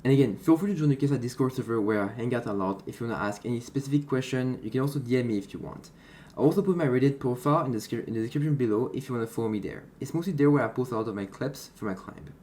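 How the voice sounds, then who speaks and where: A young man with a French accent giving a calm, explanatory voice-over that breaks down a match he played. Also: medium pitch, racing, a little hiss or hum. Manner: explanatory, slightly non-native phrasing. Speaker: gaming content creator. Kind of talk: gameplay commentary voice-over. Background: background music.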